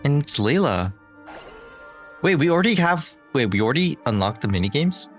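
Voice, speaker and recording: A man streaming a game, playful and giggly, thinking out loud as he goes. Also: medium pitch, very animated, normal speed, faint music behind. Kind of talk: casual gameplay chat.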